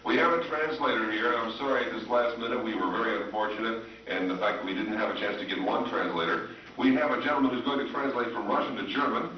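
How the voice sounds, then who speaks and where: An actor playing a formal, hesitant radio interviewer who bumbles through announcements in a comedy sketch. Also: male voice, lowish voice, fast, distant mic, natural voice. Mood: formal, bumbling. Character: flustered male radio interviewer, mock-solemn and formal. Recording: old radio clip over echoey hall speakers, muffled, noisy.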